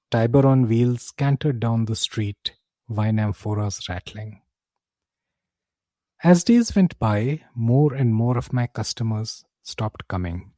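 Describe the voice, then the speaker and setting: A man narrating a scripted fantasy tale in character as a tavern keeper, with theatrical, deliberate phrasing. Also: medium pitch, mellow, dry recording. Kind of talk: dramatic scripted fantasy storytelling.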